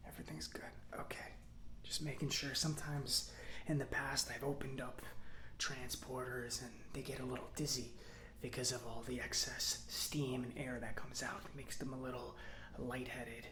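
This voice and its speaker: A man playing a gentle, reassuring robot mechanic in a soft-spoken ASMR roleplay, talking in an unhurried, slightly hesitant way. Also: mid-range voice, little pitch movement, reverberant, a little hiss or hum, lightly acted, poor audio. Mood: focused, attentive.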